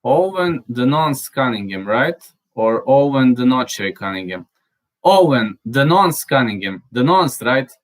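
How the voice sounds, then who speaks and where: A man whose first language is Serbian talks casually through viewer comments at an ordinary middle pitch. He halts often, fills the gaps and restarts his sentences. He spells out words he can't pronounce. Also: animated. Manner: halting, with many fillers and restarts. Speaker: channel host. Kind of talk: casual live chat, reading viewer comments.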